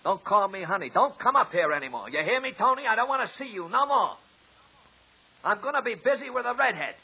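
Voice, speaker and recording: A man's voice: sits high, sing-song, brisk, slightly rough, heavily acted. An actor playing a nervous, tipsy small-time male accomplice who stammers and wheedles, in 1940s radio acting. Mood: harsh. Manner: stammering, wheedling then snarling. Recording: dull lo-fi old radio recording.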